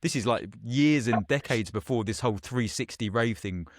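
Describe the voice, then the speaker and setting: A man's voice: mid-range voice, normal speed, no room sound. A man hosting a podcast interview, chatty and slangy, asking leading questions of a fellow MC. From England.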